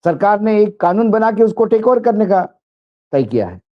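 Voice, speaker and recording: A man's voice: high-pitched, sing-song, normal speed, highs cut off. A man presenting political commentary to viewers in an emphatic, rhetorical way.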